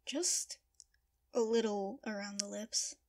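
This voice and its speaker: A slow, dryly teasing friend. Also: female voice, mid-range voice, fairly even intonation, a little mellow. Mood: wry, mildly exasperated. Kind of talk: scripted audio drama acting.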